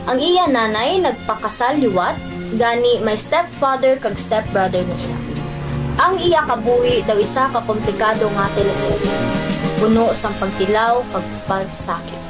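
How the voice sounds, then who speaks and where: Female narrator, fairly high voice, clear music bed behind. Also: low-quality recording. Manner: measured storytelling. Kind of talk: scripted radio drama narration.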